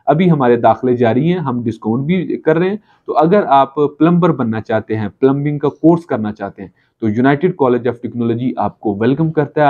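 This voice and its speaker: A man in his thirties with a Pakistani accent gives a promotional talk to camera, often repeating and rephrasing his points. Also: mid-range voice. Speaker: college channel presenter. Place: small office, indoors. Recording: dull lo-fi camera audio.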